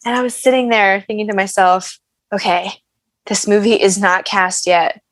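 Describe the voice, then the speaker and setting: An American woman telling stories in a casual interview, animated and self-deprecating in her humour. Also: medium pitch, moderate pace, dry recording. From United States. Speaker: guest, actress and singer.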